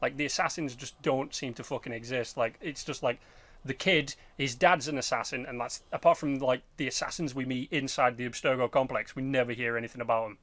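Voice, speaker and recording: A man chatting casually as a podcast host, rambling and halting, with loose swearing. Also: medium pitch, steady tone, fairly bright tone, no room sound. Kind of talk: casual chat about game movies.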